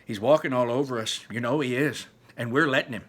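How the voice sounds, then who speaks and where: A man's voice: mid-range voice, a little fast, fairly bright tone, natural voice. A man voicing a resentful, sarcastic middle-school bully who gripes with sneering emphasis, reading a children's novel aloud. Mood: bitter urging. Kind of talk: first-person children's novel read aloud.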